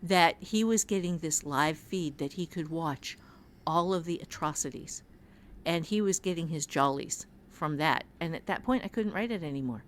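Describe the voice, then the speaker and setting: Woman reflecting haltingly in podcast conversation. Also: deep voice. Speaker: author and writing teacher.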